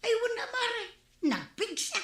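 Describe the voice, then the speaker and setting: A fussing neighbor's wife. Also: female voice, very high-pitched, huge pitch swings, measured pace, fairly bright tone, full character voice. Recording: echoey room recording.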